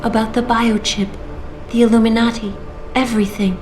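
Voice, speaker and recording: An actress voicing a calm female AI newscaster in a video game, smooth and composed. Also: a little high, moderate pace, natural voice. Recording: roomy game audio with music.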